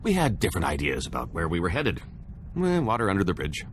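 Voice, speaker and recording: A man's voice: deep voice, huge pitch swings, fast talker, a little hiss or hum. An actor playing a laid-back, pragmatic male hustler with a wry, casual manner in a video game. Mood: reflective.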